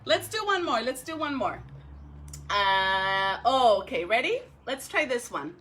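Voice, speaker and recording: A woman talking and reading aloud to young pupils as a school educator, with expressive, drawn-out phrasing. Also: on the high side, slight background noise. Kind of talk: read-aloud for children with chatty intro.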